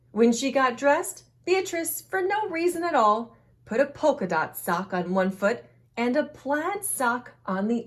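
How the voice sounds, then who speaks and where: A woman reading a children's picture book aloud in an expressive, animated way, acting out the dialogue for young listeners. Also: fairly high pitch. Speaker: children's storytime host and reader.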